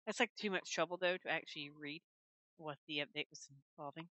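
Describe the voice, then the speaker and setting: A woman's voice: low-pitched, brisk, pushed and bright, no echo, dull audio. A woman casually commenting on her own gameplay at home, rambling and talking to herself as she plays. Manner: rambling, talks to herself while playing. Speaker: gaming channel host. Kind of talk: casual let's-play commentary. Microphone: desk microphone.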